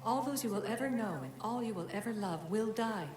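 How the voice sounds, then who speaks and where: A woman playing an ancient female spirit guide in a game cutscene, solemn, measured and cryptic, speaking formally like an oracle. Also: medium pitch, expressive, darkish tone, close to an everyday voice. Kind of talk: dramatic game cutscene acting. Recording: echoing long reverb, music behind.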